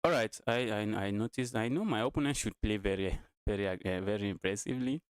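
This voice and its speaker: Smooth-voiced man in his twenties, repeating himself. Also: on the low side, fast talker, no echo. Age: 20s. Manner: talks to viewers, repeats his phrases. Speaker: chess streamer. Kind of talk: casual live-stream chess commentary.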